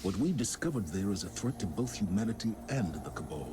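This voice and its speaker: An actor playing a composed, diplomatic yet resolute military commander in a video game cutscene, speaking in a measured, formal, authoritative way. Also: male voice, deep voice, expressive, noisy background. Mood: grave, resolute.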